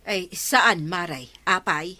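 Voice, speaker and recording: A woman's voice: on the low side, slow, sharp tone, exaggerated voice. A woman playing an indignant village woman in an Ilocano radio comedy drama, scolding and exclaiming in an Ilocano accent. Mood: reproachful.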